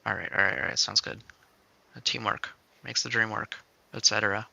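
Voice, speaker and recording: A man's voice: lowish voice, little pitch movement, brisk, gravelly, pushed and bright, husky, no room sound. A man chatting casually as he co-hosts a demo livestream as a game developer.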